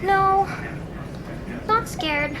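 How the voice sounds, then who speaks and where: A woman's voice: extremely high pitch, extremely slow, crowd noise, heavily acted, poor audio. A woman playing a timid young girl trying to be brave in an audio drama, answering hesitantly. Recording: loud background noise.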